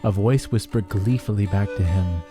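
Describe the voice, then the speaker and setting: A man narrating a rhyming folk tale as a storyteller, theatrical and expressive. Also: fairly low pitch, moderate pace, soft background music.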